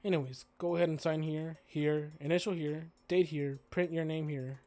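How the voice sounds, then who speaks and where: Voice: mid-range voice, steady tone, moderate pace, warm tone, no echo, close to an everyday voice. A streamer voicing a stern but supportive male dinosaur school principal in a game, formal and authoritative. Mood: businesslike, supportive. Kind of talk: visual novel character voice read aloud.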